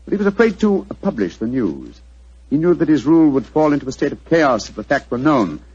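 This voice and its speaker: A man acting a brilliant, self-assured English gentleman detective in a 1940s radio drama, with a British accent and precise, theatrical delivery. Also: fairly high pitch, sing-song, a little fast, husky. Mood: confident explaining. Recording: muffled, dull 1940s radio recording.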